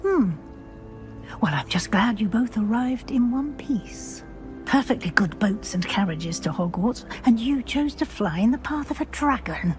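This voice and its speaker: A brisk, middle-aged British witch professor from a fantasy game. Her voice sits fairly high and swings expressively as she gives formal, exacting instruction, with music underneath. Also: lively intonation. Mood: brisk, mildly exasperated. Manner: crisp, formal, schoolmistress-like. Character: brisk, exacting female witch professor guiding a new student. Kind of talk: video game character dialogue.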